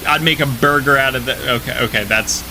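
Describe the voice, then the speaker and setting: A man's voice: medium pitch, fairly quick, noisy background. A young man hosting a reaction video with slangy, joking, exclamatory casual commentary.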